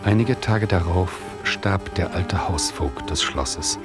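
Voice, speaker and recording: A wry, theatrical storyteller narrates a radio play with rhetorical flourishes. His voice is a little low, with clear music underneath. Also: slow pace. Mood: solemn, wry. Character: wry, omniscient male storyteller addressing his listener.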